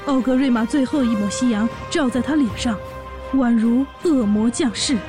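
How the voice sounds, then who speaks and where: An excitable young orc wife acted by a woman speaks in a high voice, full of admiration, half narrating and half chatting. Music plays clearly behind this fantasy audio drama. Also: normal speed, lightly acted. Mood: awed.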